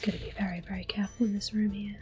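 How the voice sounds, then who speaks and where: A woman in soft, unhurried whispers, commenting on her gameplay as an ASMR gamer. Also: mid-range voice, little pitch movement. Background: soft game music and controller clicks.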